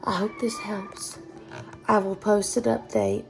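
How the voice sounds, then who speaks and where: Congested, gravelly Southern woman. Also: medium pitch, flat delivery, unhurried, soft background music, rough recording. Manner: blunt, swears casually, dry humor. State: congested, nasal from sinus trouble. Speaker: homesteading vlogger. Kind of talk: casual chat to camera. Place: home kitchen.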